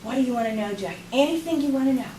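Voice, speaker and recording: A woman voicing a calm, knowing talking firefly in a storybook tale, speaking low and measured. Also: natural voice. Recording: echoey room, far from mic, noisy.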